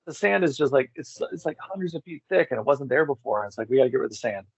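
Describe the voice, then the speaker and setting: Excitable man, rambling over dull lo-fi audio. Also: medium pitch, racing, vocal fry, breathy edge, dry recording. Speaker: interview guest, amateur megalith researcher. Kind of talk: casual enthusiastic discussion.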